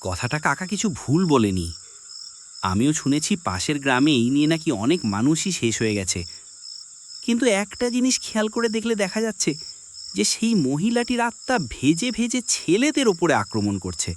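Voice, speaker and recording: A man's voice: a little high, very animated, normal speed. A man playing an uneasy young village office worker, earnest and apprehensive, in a horror cartoon. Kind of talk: Bengali horror cartoon dialogue. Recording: faint noise with chirping crickets.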